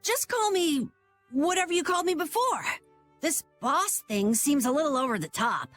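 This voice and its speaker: High, animated young boy played by a woman. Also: moderate pace. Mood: unsure, bashful. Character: earnest, self-doubting young boy guild boss. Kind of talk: animated RPG video game dub. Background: faint background music.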